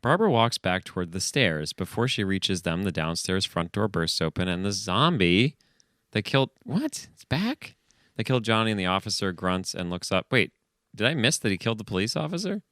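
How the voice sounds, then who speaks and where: A man co-hosting a podcast, reading a script casually and breaking off for deadpan, questioning asides. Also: fairly low pitch, fairly quick, no echo, clean studio sound. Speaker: podcast co-host.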